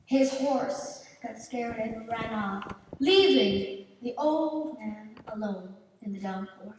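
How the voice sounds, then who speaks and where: A woman's voice: on the high side, slow, darkish tone. A woman performing as a storyteller, narrating a folk tale in a theatrical scripted style. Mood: storytelling. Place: theatre stage, far camera. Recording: echoey, noisy theatre hall from far off.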